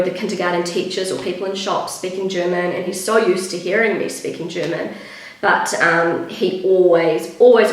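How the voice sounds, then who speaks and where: A woman in her thirties with a New Zealand accent, chatting casually in a vlog, her voice croaky with vocal fry and a little out of breath. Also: lowish voice. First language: English. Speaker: vlogger. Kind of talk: casual vlog talk to camera. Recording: very echoey room.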